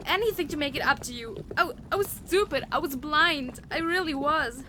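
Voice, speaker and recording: A repentant female adversary, acted by a woman in an audio drama. Her voice is high, earnest and halting as she pleads, with slight noise behind it. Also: pushed and bright. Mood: desperate, pleading. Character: Nemesis, remorseful pleading female enemy of the hero.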